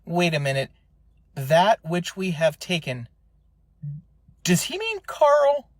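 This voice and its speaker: A man's voice: high-pitched, big pitch swings, no echo, clean studio sound, full character voice. A man playing an anxious, sarcastic space scout in a comedy radio drama, nervous and wry. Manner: nervous, wry, fast-worried.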